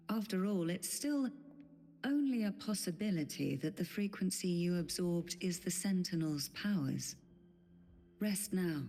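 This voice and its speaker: An actress playing a composed, enigmatic and caring woman in a video game, speaking in a measured, deliberate way. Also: lowish voice, warm tone. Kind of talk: video game character acting.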